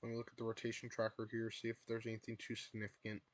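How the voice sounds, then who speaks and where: A man's voice: on the low side, completely flat delivery, rapid-fire. A young man, hosting a live weather stream from his room, losing his voice and repeatedly urging viewers to take shelter. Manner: repetitive, filler-heavy, urges viewers to take shelter. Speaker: livestream weather host.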